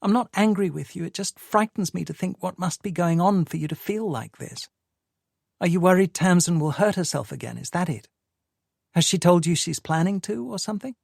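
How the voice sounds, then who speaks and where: A man's voice: high-pitched, lively intonation, normal speed, vocal fry, a little mellow, no echo, studio quality, pitched above the performer's own voice, exaggerated voice. A man reading aloud, voicing an anxious adult woman who speaks in a pleading, agitated way. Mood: worried.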